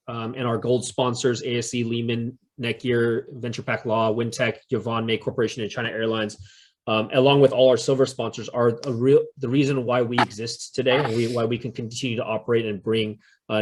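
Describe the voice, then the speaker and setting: A man hosting a community fireside chat at a middle pitch. He speaks in a friendly, curious way, with many "uh"s and "um"s as he introduces the event and his guest. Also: flat delivery, brisk. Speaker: interview host.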